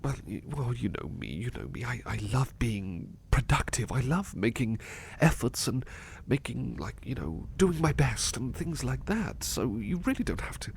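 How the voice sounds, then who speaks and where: A man playing a devoted, anxious butler with a haunted past in an intimate ASMR roleplay, speaking softly and haltingly with stammers. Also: mid-range voice, big pitch swings, creaky, slight background noise. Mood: flustered, dutiful. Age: middle-aged or younger adult. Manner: hesitant, stammering, soft-spoken, drifting into whispers.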